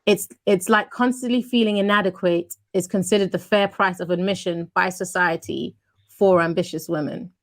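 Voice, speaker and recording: A woman's voice: fairly low pitch, steady tone, moderate pace. Woman hosting a panel over video call. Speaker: host and author. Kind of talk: hosting a panel, reading a prepared passage.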